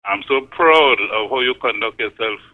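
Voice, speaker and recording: A man's voice: mid-range voice, moderate pace. Older Tobagonian man on a thin phone line. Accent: Trinidad and Tobago. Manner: earnest, repeats himself. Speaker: caller. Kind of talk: phone-in call.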